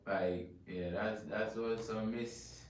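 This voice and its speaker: A man's voice: on the low side, fairly even intonation, slow pace. A man hosting a casual livestream interview, asking questions in a laid-back, slangy way. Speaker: interviewer host. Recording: echoey room, distant mic, faint noise.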